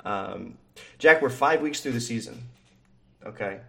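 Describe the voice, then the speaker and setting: A man's voice: mid-range voice, lively intonation, a little slow, creaky. A young man co-hosting a casual sports podcast, rambling with lots of fillers and easy laughs. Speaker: podcast co-host. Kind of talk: casual chat.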